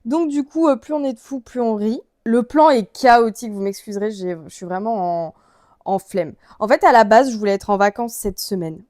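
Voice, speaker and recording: A young woman talks to her vlog viewers in a fairly high voice. She rambles casually and uses plenty of slang, and the sound is dry with little room echo. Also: normal speed, darkish tone. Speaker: vlogger.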